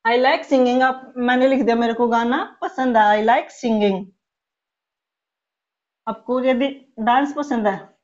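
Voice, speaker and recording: A woman in her thirties teaching spoken English, explaining in Hindi with English words mixed in and slowly dictating each example sentence. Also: on the high side, a little mellow, lo-fi sound. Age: 30s.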